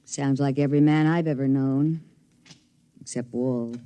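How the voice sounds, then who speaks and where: An actor playing a bitter, jaded character in a 1950s radio drama. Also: male voice, mid-range voice, steady tone, measured pace, dark tone, smooth, dry recording, close to an everyday voice. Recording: vintage radio recording.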